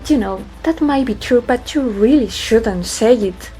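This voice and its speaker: A woman acting in a sci-fi audio drama as a resolute, stubborn pregnant pilot, speaking calmly and firmly. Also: fairly high pitch, faint music behind, rough recording. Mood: resolute, reasoning. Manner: deliberate, firm.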